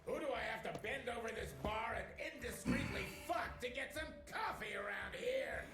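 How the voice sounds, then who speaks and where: A screaming, swearing big-shot official, high gravelly voice all over the place, noisy audio. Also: male voice, animated, normal speed, soft background music, heavily acted. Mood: furious. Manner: shouting, profane, contemptuous. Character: foul-mouthed, furious male government official. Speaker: government secretary. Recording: noisy game cutscene audio.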